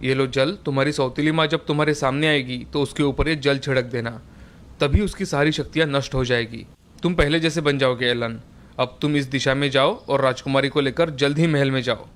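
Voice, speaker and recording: Man as calm, wise old forest sage. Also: mid-range voice, fairly quick, lightly acted. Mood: calm, instructing. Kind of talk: dramatised children's fairy tale acting.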